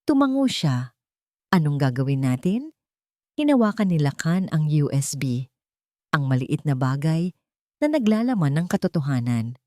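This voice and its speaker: Low-voiced woman narrating a drama. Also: sing-song, normal speed, mellow, dry recording, clean studio sound. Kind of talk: dramatic scripted story narration.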